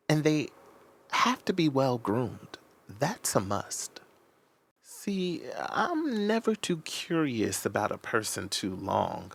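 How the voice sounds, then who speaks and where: A man playing a secretive, obsessive man confessing in a measured, confiding audio drama narration with deliberate pauses. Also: medium pitch, voice pushed up, exaggerated voice. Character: Josh, secretive obsessive man confessing his curiosity about strangers. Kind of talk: scripted audio drama first-person narration.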